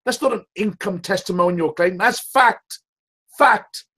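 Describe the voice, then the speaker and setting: Male call host, loud, high voice, emphatic, pushy repetition. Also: a little slow. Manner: emphatic, repetitive, rhetorical questions. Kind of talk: motivational sales call. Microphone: call audio.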